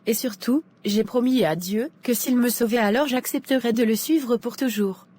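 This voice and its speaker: Une femme qui double une jeune fille croyante, douce et sincère. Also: female voice, fairly high pitch, moderate pace, close to an everyday voice. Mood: tender. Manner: soft, earnest, forgiving. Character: young woman, daughter freed from captivity, gentle and devout.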